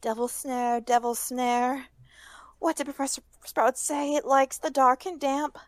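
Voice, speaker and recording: A woman's voice: high voice, little pitch movement, measured pace, dry recording, clean studio sound, voice pushed up. A woman voicing a bossy, clever schoolgirl witch from a storybook, urgent and exclaiming. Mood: panicked.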